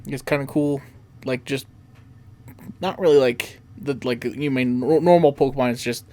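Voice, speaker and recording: A man's voice: medium pitch, moderate pace, darkish tone. A man hosting a podcast, chatting casually about video games in a rambling way full of "like". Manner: rambling, peppered with "like". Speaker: podcast host.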